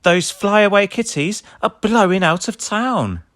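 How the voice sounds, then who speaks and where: A man's voice: sits high, lively intonation, unhurried, a bit bright, dry recording, pitched above the performer's own voice. A man voicing an alert female rescue puppy who flies, reporting urgently in a children's cartoon storybook.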